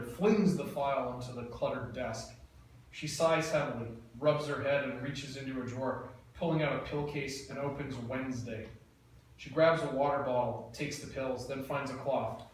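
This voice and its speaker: Brief: male narrator, flat direction reading, faint room noise. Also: medium pitch. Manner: plain, matter-of-fact. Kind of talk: reading screenplay stage directions. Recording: echoey room, mic far off, faint noise.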